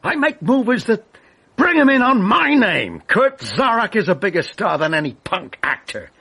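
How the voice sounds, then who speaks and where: An actor playing a vain, volatile film director cornered as a killer, ranting and menacing in a radio drama. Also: male voice, sits high, sing-song, normal speed, gravelly, sharp tone, slightly rough, exaggerated voice. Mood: furious, anguished. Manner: ranting, swinging from menace to outburst.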